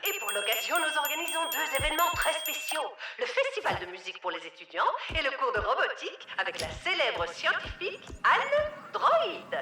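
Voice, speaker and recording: A woman voicing a pompous school head in a French animated series dub, making formal, theatrical announcements with echo. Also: high-pitched, normal speed, exaggerated voice. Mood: grand, cheerful. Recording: echoey announcement over loud music.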